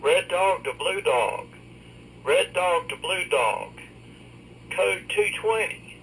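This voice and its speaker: Over a tinny walkie-talkie with faint noise comes a high-pitched army-game caller, acted out by a man. The calls are slow, clipped and repetitive, like coded orders in a role play. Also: expressive, a bit bright, exaggerated voice. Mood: clipped, deliberate. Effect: walkie-talkie radio.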